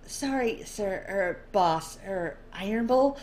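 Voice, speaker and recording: A woman's voice: mid-range voice, animated, slow pace, darkish tone, a little hiss or hum, voice pushed up. A woman voicing a nervous, guarded scribe and former slave, meek and evasive in a story reading. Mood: flustered, apologetic.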